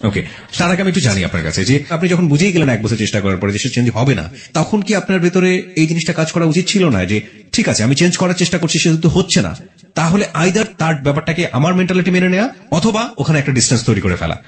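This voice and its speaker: A man's voice: on the high side, big pitch swings, fast. A man with a Bangladeshi accent hosting a radio interview, speaking analytically to guest and listeners and mixing in English phrases. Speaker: radio show host and interviewer.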